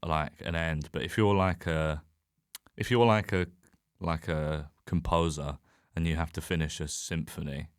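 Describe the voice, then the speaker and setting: Deep-voiced man, rambling and self-deprecating. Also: fairly even intonation, measured pace, clean tone, dry recording, studio quality. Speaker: comedian guest on a podcast. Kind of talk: casual chat.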